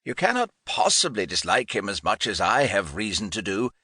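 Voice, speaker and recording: A man's voice: lowish voice, very animated, normal speed, sharp tone, husky, no echo, studio quality, exaggerated voice. Man acting an irascible, imperious old English lord. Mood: commanding. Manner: imperious, emphatic, formal. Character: irascible grey-bearded English lord and military commander.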